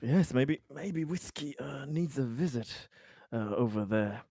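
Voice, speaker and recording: A man with a medium-pitched voice who speaks slowly and haltingly, feeling his way through polite in-character improvisation. The sound is close and dry. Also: lively intonation, creaky, mellow, husky, no echo. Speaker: player in a tabletop roleplaying game.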